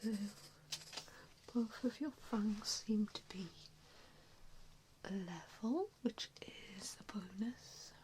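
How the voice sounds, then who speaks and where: A woman doing an ASMR roleplay in a breathy whisper, speaking in a gentle, hesitant, reassuring way. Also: mid-range voice, slow, pauses often, noisy background. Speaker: ASMR roleplayer playing an assessor. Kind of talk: ASMR roleplay, softly whispered personal attention.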